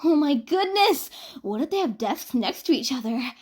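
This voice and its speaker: A woman's voice: high-pitched, sing-song, moderate pace, darkish tone, voice pushed up. A woman voicing a playful, dramatic college student with a mischievous streak, gushing and pleading theatrically. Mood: teasing, gushing.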